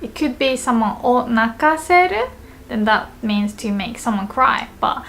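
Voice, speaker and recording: Japanese-accented woman teaching, slightly high voice. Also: expressive, gravelly, fairly bright tone, slight background noise. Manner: explains patiently, repeats example sentences slowly. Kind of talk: casual spoken language lesson.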